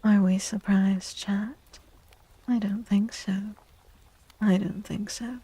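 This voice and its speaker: A woman speaking softly and breathily in a gentle, unhurried near-whisper, chatting as an ASMR livestream host and often softly repeating phrases. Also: medium pitch, steady tone, no room sound. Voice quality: creaky. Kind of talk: soft-spoken ASMR livestream chat.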